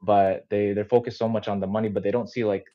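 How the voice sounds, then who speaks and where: A man's voice: lowish voice, level tone, fast, dark tone. A man hosting a podcast interview, chatting casually and rambling with lots of fillers and restarts. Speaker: podcast host. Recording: dull muffled lo-fi audio.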